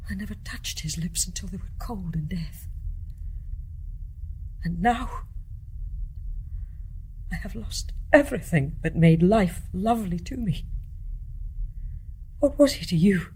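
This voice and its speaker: Embittered nurse in radio drama: low-pitched, husky, intense, accusing and anguished. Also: female voice, expressive, measured pace, long pauses, mellow, exaggerated voice. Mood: anguished grief. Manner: fervent, scornful, emphatic delivery. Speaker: actor in a radio play. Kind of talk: intense stage-play dramatic acting.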